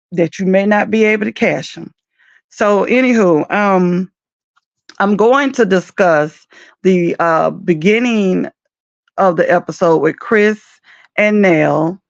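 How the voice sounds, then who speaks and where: A woman with a raspy, deep morning voice, chatting loosely about reality TV as the host of a commentary show. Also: dry recording, lo-fi sound. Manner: chatty, loose, with many fillers and asides.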